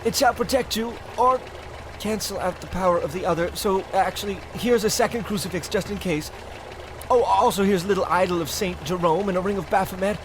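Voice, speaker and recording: A man's voice: high voice, fairly quick, background noise, natural voice. A man playing an eccentric, superstitious old New England bus driver, rambling and folksy, in a comedic old-time radio play. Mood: helpful, eccentric.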